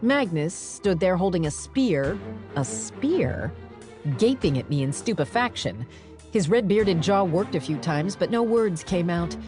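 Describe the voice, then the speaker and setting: Female audiobook narrator, lowish voice, highly animated, wry first-person storytelling, faint music behind. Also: a little slow.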